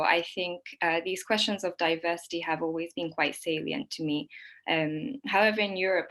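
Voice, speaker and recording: Thoughtful lowish-voiced woman panelist. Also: fairly bright tone. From United Kingdom. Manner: thoughtful, measured, fillers. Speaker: documentary filmmaker. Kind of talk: panel talk.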